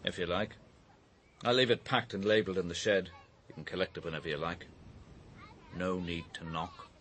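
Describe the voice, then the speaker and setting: An actor playing a proud, aggrieved painter in a radio drama, blunt and surly in his delivery, with a husky voice. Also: sits low, steady tone, moderate pace, a bit bright, a little hiss or hum. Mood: curt, defiant. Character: bitter, proud, aggrieved widowed painter, a father.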